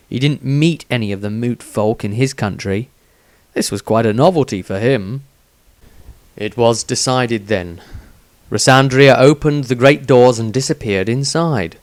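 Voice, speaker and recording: A man narrates a fantasy story he wrote, reading from a script. His voice sits at a medium pitch and the recording is close and dry, with hardly any room sound. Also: dry recording. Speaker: author reading own story.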